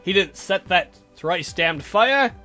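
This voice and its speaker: Guy doing a grumpy old vampire count in a horror visual novel, dry n sweary, lively pitch swings. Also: takes it slowly, soft background music, exaggerated voice. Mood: indignant, defensive.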